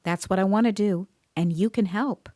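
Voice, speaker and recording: An actress playing a tense, caring police investigator, narrating a grim story in measured, literary first person. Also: female voice, medium pitch, mellow, dry recording, close to an everyday voice. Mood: gentle. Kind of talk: first-person scripted audio drama narration.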